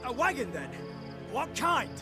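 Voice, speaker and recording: A very high-pitched, deliberate guard commander from a fantasy game. She is stern and formal, emphatic with strain, with music playing behind her. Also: big pitch swings. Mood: firm, pressured. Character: stern, anxious guard commander negotiating under pressure. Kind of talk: video game dialogue.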